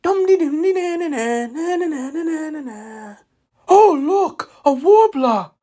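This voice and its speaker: Man as a high, dreamy cartoon lorry. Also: animated, slow, warm tone, heavily acted. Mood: dreamy, eager. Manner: rambling, repeating himself. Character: dreamy, eager, dawdling skip lorry from children's cartoon.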